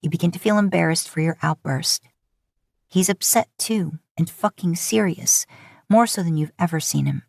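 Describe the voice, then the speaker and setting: A woman's voice: fairly low pitch, moderate pace, vocal fry, a little mellow, no room sound, studio quality. A woman reading scripted fiction aloud as a podfic narrator.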